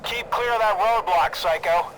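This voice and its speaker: An actor playing a brash military jet pilot in a video game, giving clipped, forceful radio callouts. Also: male voice, high voice, normal speed, background noise, lightly acted. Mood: brisk, combative. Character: brash, foul-mouthed military jet pilot, adult man. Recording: loud background music.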